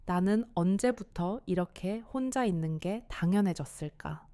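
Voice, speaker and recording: A woman's voice: on the low side, expressive, normal speed, mellow, smooth, dry recording, studio quality. A woman voicing a lonely older wife, hesitant and introspective, in an audio drama. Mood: weary, uneasy.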